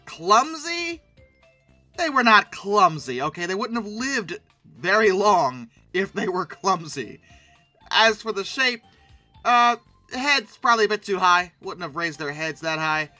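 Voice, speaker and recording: A host giving casual, humorous commentary on pictures, rambling through asides and breaking into animated, mock-outraged exclamations. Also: medium pitch, a little slow, dry recording, faint music behind.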